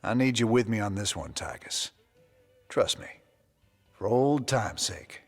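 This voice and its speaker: A wry, determined space-cowboy commander from a video game. The drawl is husky and lively in pitch, heard in a reverberant room. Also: male voice, unhurried, full character voice. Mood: confronting. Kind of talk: video game cutscene acting. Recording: reverberant room with music underneath.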